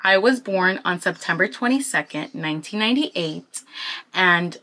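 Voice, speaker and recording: A woman's voice: lowish voice, moderate pace. A woman in her twenties with a Southern California accent, chatting informally and with plenty of slang as she vlogs. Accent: Southern California American. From Anaheim, California, United States. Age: 20s. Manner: informal, slangy, animated when quoting people. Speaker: vlogger. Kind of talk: casual chatty get-ready-with-me talk.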